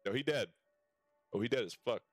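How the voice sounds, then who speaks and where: A man's voice: medium pitch, a little fast, dry recording. A man streaming a game, reading its dialogue aloud with casual asides and the odd stumble over the words. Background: game music.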